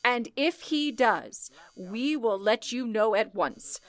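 A woman acts a commanding female supervisor at a telephone exchange in a vintage radio play. Her voice sits fairly high, with firm, deliberate, formal delivery. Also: lively intonation. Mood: firm, composed. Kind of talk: old-time radio drama acting.

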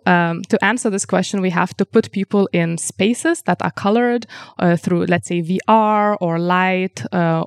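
A woman whose first language is Lithuanian, answering interview questions as a researcher in a measured, explanatory way with frequent ums. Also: mid-range voice, gravelly, no room sound, studio quality. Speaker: researcher guest.

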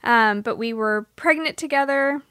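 A woman's voice: on the high side, moderate pace, a bit bright, dry recording, studio quality. A woman co-hosting a casual podcast interview, speaking in a heartfelt, slightly teary way. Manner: heartfelt, emotional, a little teary.

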